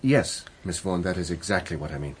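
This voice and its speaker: An actor playing an earnest, awkward scientist in a radio drama, hesitant, apologetic and painstakingly polite. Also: male voice, low voice, expressive, quick pace. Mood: uneasy, earnest. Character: earnest, awkward male scientist, a troubled visiting stranger. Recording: faint background noise.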